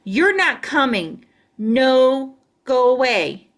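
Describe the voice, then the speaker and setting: Woman doing a loud, bragging schoolboy bully. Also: sits high, big pitch swings, slow pace, voice pushed up, exaggerated voice. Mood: angry. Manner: loud, taunting. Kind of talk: children's storybook read-aloud.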